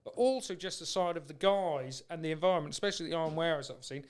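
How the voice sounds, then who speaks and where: Clear, higher-voiced man chatting casually. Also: very fast. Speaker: podcast host. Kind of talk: casual chat.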